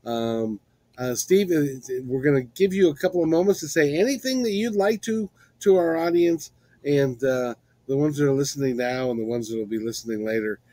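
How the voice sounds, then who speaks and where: A man's voice: medium pitch, moderate pace, mellow, no echo. A man hosting an interview show, talking casually and conversationally as he puts questions to his guests.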